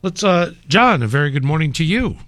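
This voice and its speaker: A man's voice: a little high, animated, brisk, fairly bright tone, no room sound. A man hosting a radio interview in the studio, effusive and fond of formal words. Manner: effusive, formal word choice. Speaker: radio host.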